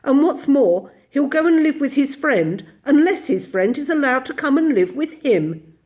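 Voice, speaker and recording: A composed storybook nanny, voiced by a woman reader. She speaks firmly and insistently in a high, lively voice, stating things as plain fact. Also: moderate pace. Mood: firm, matter-of-fact. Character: composed, firm nanny calmly translating for a dog.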